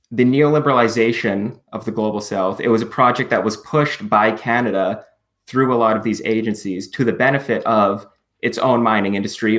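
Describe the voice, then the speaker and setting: A man speaking as a podcast guest, explaining policy history in a measured way, often hedging and restarting with fillers. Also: fairly low pitch, fairly bright tone. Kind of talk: explaining politics in a podcast conversation.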